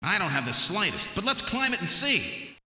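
A man's voice: high voice, big pitch swings, normal speed, bright, forceful tone, heavily acted. A man playing an earnest, determined detective hero in an adventure game, with dramatic, emphatic delivery. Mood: puzzled, deducing. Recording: reverberant echo, music behind.

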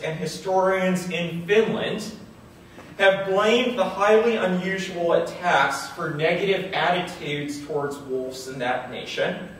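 A man's voice: high voice, recorded from a distance. Echoey man giving a formal lecture. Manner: formal, deliberate phrasing. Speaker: history professor. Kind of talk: scripted academic lecture with brief asides. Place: large indoor auditorium, at a podium on stage. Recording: echoey hall from afar, faint noise.

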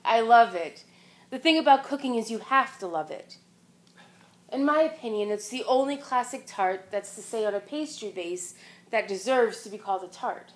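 A woman's voice: fairly high pitch, lively intonation, moderate pace, natural voice. An actress playing an earnest, moralizing middle-aged mother who loves art and cooking, on a theater stage. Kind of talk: stage play acting.

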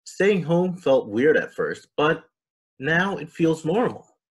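A man's voice: on the high side, big pitch swings, slow, husky, pitched above the performer's own voice, exaggerated voice. A man voicing a cheerful, caring young girl in a storybook, upbeat and earnest.

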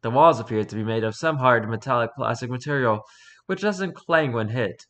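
Bright-voiced man reading aloud offhandedly. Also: medium pitch, lively intonation, moderate pace. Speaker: streamer reading and reacting to wiki pages. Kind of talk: casual read-aloud with offhand asides.

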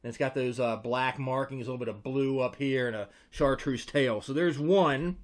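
A man's voice: medium pitch, normal speed. An American man in his forties hosting a casual product review to camera in an informal, conversational way. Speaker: host, fishing lure reviewer. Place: small indoor room with tackle-covered walls. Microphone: desk microphone beside him.

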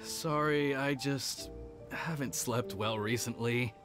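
An actor playing a polite young retainer who is worn out and sighs often. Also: male voice, mid-range voice, lightly acted. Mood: subdued. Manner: measured, sighing, formal. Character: young male retainer, polite and quietly troubled. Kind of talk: video game voice acting. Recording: game audio with loud music.

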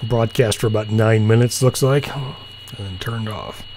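A middle-aged man chatting casually and musing in an unhurried, low-key way as he hosts a hobby video. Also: a little mellow. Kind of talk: casual chat while demonstrating radio software. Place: small indoor home room. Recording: humming, clearly noisy home recording.

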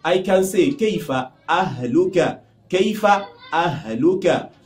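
A middle-aged Nigerian man with a Nigerian accent teaching Arabic phrases to camera, repeating each phrase in a lively way. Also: sits high, moderate pace, echoey room. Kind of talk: language lesson to camera. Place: small indoor studio with whiteboard.

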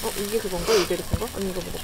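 A woman chatting casually on a camping trip, relaxed and playful. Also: medium pitch, very fast. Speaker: vlogger, wife of a camping couple. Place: outdoors at a rural campsite. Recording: outdoor camera audio, loud background noise.

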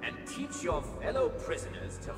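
A man's voice: normal speed, natural voice. An actor voicing a theatrical male figure in a video game, giving orders in a commanding way. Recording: roomy game audio with reverb and music.

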